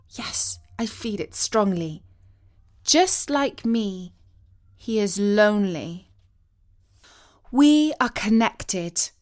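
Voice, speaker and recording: Woman acting a lovestruck young noble girl, fervent and dramatic. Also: mid-range voice. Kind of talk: dramatised storybook reading.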